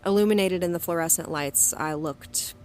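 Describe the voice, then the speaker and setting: A woman's voice: on the low side, normal speed, a little mellow, slight background noise, natural voice. A woman playing a defiant, stubborn agent in an audio drama, who speaks bluntly and narrates her own story. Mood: somber narration. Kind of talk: audio drama dialogue and first-person narration.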